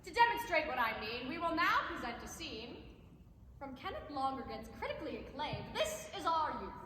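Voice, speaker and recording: Echoey, high-pitched perky saleswoman played by a woman. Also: very animated, moderate pace. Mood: announcing, dramatic. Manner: exaggerated, theatrical, salesy. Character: perky infomercial saleswoman with gushing, over-bright pitch. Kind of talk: parody infomercial stage acting. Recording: echoey hall, noisy live stage recording.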